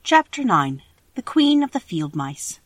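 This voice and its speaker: A woman's voice: mid-range voice, sing-song, a little slow, music in the background. A woman reading a children's story as scripted audiobook narration.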